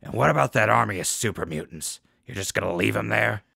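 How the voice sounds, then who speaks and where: A man playing a sardonic, unflappable radio host in a post-apocalyptic audio drama, with a low, gravelly voice and folksy patter. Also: expressive, fairly quick, bright, forceful tone, no echo, clean studio sound, exaggerated voice. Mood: skeptical. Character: wry male wasteland radio show host, unflappable and sardonic.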